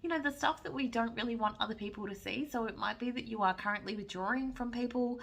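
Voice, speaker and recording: A woman's voice: a little high, quick pace, creaky, fairly bright tone. A woman giving a pick-a-card tarot reading while she is losing her voice, talking in a chatty way and often checking in with "right?". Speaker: psychic tarot reader.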